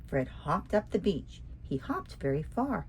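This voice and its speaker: A woman reading a rhyming children's picture book aloud in an expressive, sing-song way, asking the listener questions. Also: fairly low pitch, unhurried, slight background noise, low-quality recording.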